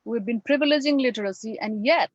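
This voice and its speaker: A woman with a Bengali accent, whose first language is Bengali, gives an academic talk over a video call, reflective and full of pauses and fillers. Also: fairly high pitch, no room sound, highs cut off. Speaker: guest lecturer.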